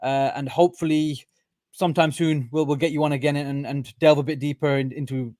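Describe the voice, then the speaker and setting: Man as casual interview host. Brisk, fast talker, appreciative and easygoing, very dry room sound. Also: a little high, fairly even intonation, darkish tone, no room sound. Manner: appreciative, agreeable.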